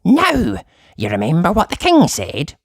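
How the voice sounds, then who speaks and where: Squeaky little goblin servant. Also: male voice, huge pitch swings, a little slow, dry recording, studio quality, full character voice. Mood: scolding. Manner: high, fussy and drawn out. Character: small squeaky male goblin servant, formal.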